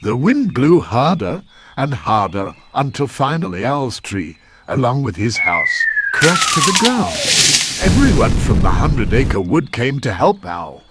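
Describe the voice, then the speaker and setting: A man narrating a children's story from a script in an expressive storytelling manner. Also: medium pitch, a little slow, very noisy.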